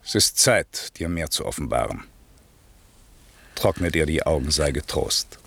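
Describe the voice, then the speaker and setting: An actor in a German radio play, playing a grave magician father who declaims in a solemn, measured way. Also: very deep voice, expressive, creaky, a bit bright, breathy edge, heavily acted. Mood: solemn, soothing. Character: grave, commanding magician father, an exiled duke.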